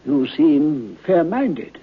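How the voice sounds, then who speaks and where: An actor playing a dignified, sorrowful old man in a vintage radio horror drama, halting, grave and bluntly sure of himself. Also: a little high, big pitch swings, breathy edge, heavily acted. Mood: solemn. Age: older. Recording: tinny, band-limited old radio recording.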